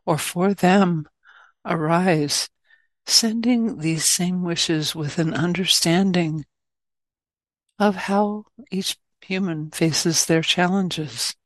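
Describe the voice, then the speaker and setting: A woman leading a guided loving-kindness meditation as a teacher, speaking gently and in measured phrases with long pauses. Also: lowish voice, dry recording.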